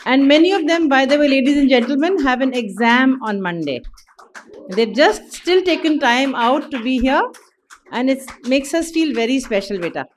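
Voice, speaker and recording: A woman with an Indian English accent, addressing the festival audience as organizer and warmly encouraging young authors. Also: high voice, expressive, normal speed, slight background noise. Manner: warm, encouraging announcer.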